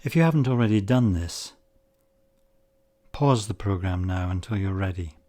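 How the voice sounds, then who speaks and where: A man leading a guided meditation, speaking in measured, deliberate short phrases with pauses between them. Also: sits low, animated, mellow. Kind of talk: guided meditation, slow spoken instruction.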